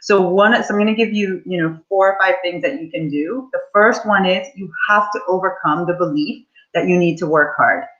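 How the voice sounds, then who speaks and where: A woman's voice: on the low side, fairly quick, hardly any pauses, a bit bright, muffled recording. A woman in her forties, a money mindset coach, leading a live online coaching session and speaking to her audience in an upbeat, encouraging way. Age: 40s.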